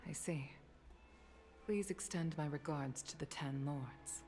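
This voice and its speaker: A woman voicing a grave, dignified middle-aged commission leader in a video game, speaking in a formal, measured way. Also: low-pitched, darkish tone, faint music behind.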